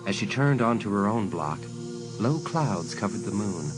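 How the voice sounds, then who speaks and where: A man's voice: lowish voice, animated, normal speed, poor audio. Male suspense narrator over music. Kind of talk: scripted suspense audiobook narration.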